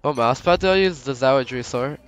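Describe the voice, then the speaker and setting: A man's voice: mid-range voice, quick pace, dull audio, over music. A man casually chatting to his stream viewers while gaming, slangy and sweary, recovering from a slight cold. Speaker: live game streamer.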